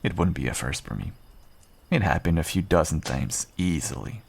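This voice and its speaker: A man playing a guarded but kind half-wolf rogue in an intimate fantasy audio roleplay, unhurried and dryly understated. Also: lively intonation, exaggerated voice. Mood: wary, resigned. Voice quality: low, gravelly, husky. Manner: unhurried, dry, understated, self-deprecating.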